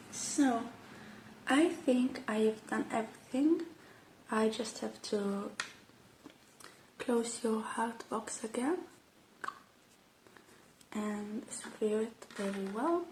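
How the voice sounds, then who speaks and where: A woman doing a gentle, unhurried ASMR roleplay, speaking softly and slipping into breathy whispers close to the microphone. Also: fairly high pitch, lively intonation, a little hiss or hum, low-quality recording. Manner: gentle, unhurried, murmuring to the listener. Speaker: ASMR creator playing a robot repair technician.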